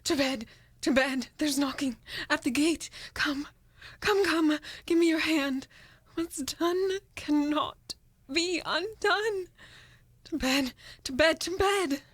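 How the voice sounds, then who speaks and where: A woman acting a guilt-tormented, sleepwalking queen in a Shakespearean radio play, muttering in a halting, haunted, theatrical way. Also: high voice, dry recording. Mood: feverish, urgent.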